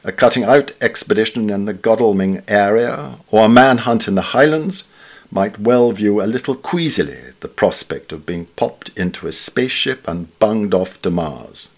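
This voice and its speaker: A British man reading a scripted literary essay in a formal, measured, wryly understated way. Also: fairly low pitch, lively intonation. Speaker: reader of newspaper essays.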